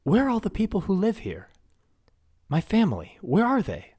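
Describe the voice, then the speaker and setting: A man voicing a young giant princess who is proud and quick-tempered, his voice pushed up high as she stammers in indignation. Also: a little mellow, slightly rough, no room sound, clean studio sound, heavily acted. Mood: agitated. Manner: pitched far up, stammering, indignant.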